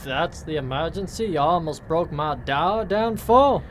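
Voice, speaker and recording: A woman's voice: deep voice, expressive, moderate pace, a little hiss or hum. A woman playing a folksy, wary shopkeeper with a Southern US accent and a drawl in a radio play. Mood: scolding, folksy.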